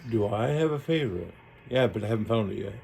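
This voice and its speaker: A man with a gravelly, fairly low voice gives a casual hands-on explanation. He talks in a folksy, rambling, colloquial way, with faint noise behind him. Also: animated, fast talker, darkish tone. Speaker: radio hobbyist demonstrating equipment.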